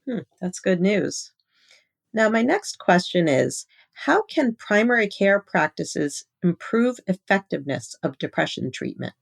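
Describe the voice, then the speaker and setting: A woman's voice: mid-range voice, sing-song, measured pace, gravelly. A woman hosting a medical interview, asking questions in a polite, professional manner. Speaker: interviewer, physician editor.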